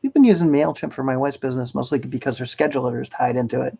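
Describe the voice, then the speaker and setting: A man with an American accent and a creaky, gravelly voice at an ordinary pitch. He co-hosts in an easygoing, agreeable chatty style. The stream audio is a little dull and lo-fi. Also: fast talker, mellow. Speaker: livestream co-host. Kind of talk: casual chat.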